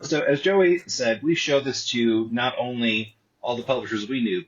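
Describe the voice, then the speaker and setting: A man's voice: mid-range voice, muffled recording. A man, a comic artist, telling the story of his book in a casual interview, stumbling over restarts as he talks. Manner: repetitive restarts, animated storytelling.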